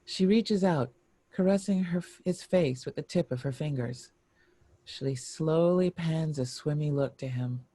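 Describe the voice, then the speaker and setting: A man reading scripted screenplay stage directions in a measured, descriptive narration. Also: a little high, mellow, no echo.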